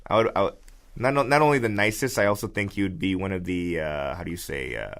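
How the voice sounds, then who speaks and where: A man's voice: low-pitched, fast talker, vocal fry, a bit bright. A man, a stand-up comedian from the United States, telling stories casually on a podcast, repeating words as he goes. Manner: storytelling, repeats words, acts out quotes.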